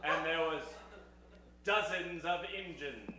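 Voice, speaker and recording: A man's voice: a little high, slow, a loud crowd. A man narrating an improvised old-time radio Western comedy with dramatic storytelling delivery. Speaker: narrator of a stage radio show. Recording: very echoey stage, distant mic.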